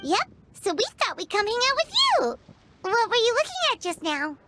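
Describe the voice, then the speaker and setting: Very high, squeaky little fairy companion. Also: female voice, wildly swooping pitch, moderate pace, background noise, exaggerated voice. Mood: bright, eager. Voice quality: squeaky, childlike. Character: tiny childlike female fairy companion, earnest and curious. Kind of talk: scripted English video game voice acting.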